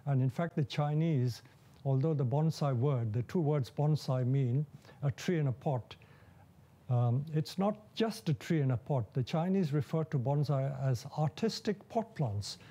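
A man in his seventies from India talks through his story in a calm, explanatory way, pausing now and then with small fillers. His voice sits a little high for a man yet has a mellow, unforced tone. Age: 70s. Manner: measured and explanatory, with occasional fillers. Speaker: bonsai nursery owner. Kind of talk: documentary interview, recounting his life. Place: large glass greenhouse full of plants.

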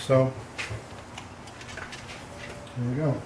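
A middle-aged man talks through a homemade project in an ordinary, mid-pitched voice, plain-spoken and loose, with plenty of ums and uhs. He is picked up by a camera microphone across a home garage. A faint background hiss sits under his voice. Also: level tone, very slow. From Texas. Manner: rambling, plain-spoken, many fillers. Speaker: DIY maker. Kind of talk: casual how-to demonstration. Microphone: camera mic from a distance.

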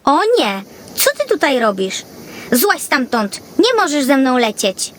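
Woman voicing a grumpy, fretful little Christmas elf, high-pitched. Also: sing-song, measured pace. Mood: indignant. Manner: fretful, grumbling, exclaiming. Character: small grumpy, nervous male Christmas elf. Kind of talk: children's audio fairy tale acting.

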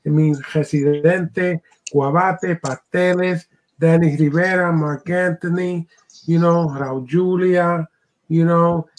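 A man's voice: fairly high pitch, darkish tone, low-quality recording. Passionate older man on a headset. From Puerto Rico. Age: 60s. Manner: passionate, talks with his hands, many "you know"s. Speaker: co-host. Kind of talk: casual video call chat. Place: home room at a desk.

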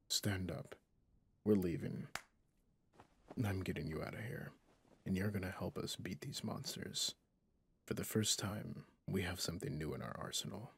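A man playing a guilt-ridden, stern, centuries-old vampire hunter in an ASMR roleplay, speaking solemnly and haltingly in a husky, breathy half-whisper. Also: deep voice, no echo, heavily acted. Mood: stern, resolute.

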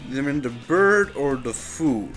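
A man gives casual let's-play commentary, halting and thinking aloud as he plays. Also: mid-range voice, animated, fairly bright tone, soft background music. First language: Spanish. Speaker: gamer commentating his play.

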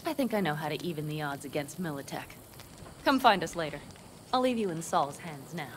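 A young nomad woman in a video game, low-pitched and very expressive. She is brisk, decisive and headstrong. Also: a little hiss or hum. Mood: determined. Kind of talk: video game cutscene dialogue.

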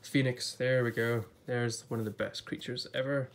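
Guy flipping thru a book on camera, bit off-voice from being ill, chatty and excited, talks it through as he goes. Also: medium pitch, moderate pace, a little hiss or hum. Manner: chatty, enthusiastic, thinking aloud with restarts. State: voice a bit off from recent illness. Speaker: YouTuber reviewing a book. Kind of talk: casual book flip-through vlog. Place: indoors at a table before a blue backdrop.

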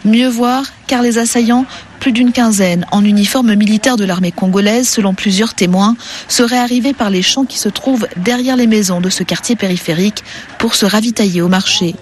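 A woman's voice: medium pitch, a little fast. Female field reporter over noticeable noise. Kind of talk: scripted news report narration. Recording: noisy field report sound.

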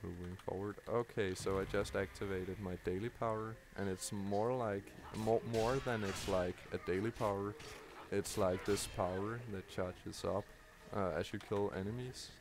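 A man from Denmark, a native Danish speaker, giving tired, halting live commentary on his game play with many ums and restarts. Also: fairly low pitch, steady tone. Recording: loud background noise over the voice.

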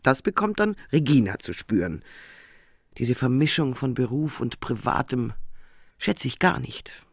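A woman's voice: a bass voice, big pitch swings, a little slow, breathy edge, dry recording, clean studio sound, heavily acted. Stressed out prosecutor lady, sardonic and snippy, swoops around a lot, crime radio play. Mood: irritated, sardonic. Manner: exasperated, clipped. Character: harried, irritable female senior prosecutor, brisk and sardonic. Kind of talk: German radio crime drama.

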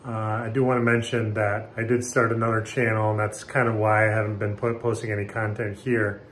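A middle-aged American man talking casually and haltingly, unscripted, like a hobbyist hosting a vlog in a small room. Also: on the low side, flat delivery, fairly bright tone. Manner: rambling, informal, halting. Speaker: video host, hobbyist speaker restorer. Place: small bathroom indoors. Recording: echoey small room, background noise.

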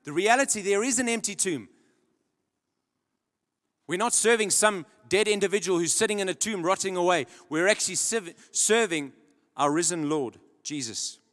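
Young male preacher, echoing church hall. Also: normal speed. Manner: dramatic, builds to loud emphatic peaks then drops low. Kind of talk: sermon. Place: large church stage. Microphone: handheld mic. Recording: long church hall reverb.

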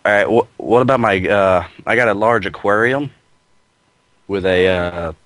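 Deep-voiced deadpan male prank caller. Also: a little slow, no room sound. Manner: deadpan, feigned earnest. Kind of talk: deadpan prank phone call. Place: group internet voice call.

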